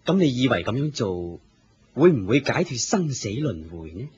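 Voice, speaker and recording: A man with a Hong Kong Cantonese accent playing a wise, authoritative spiritual teacher, measured and didactic, in a radio drama. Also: mid-range voice, sing-song, darkish tone, husky. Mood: calm, instructive. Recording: muffled lo-fi radio drama recording.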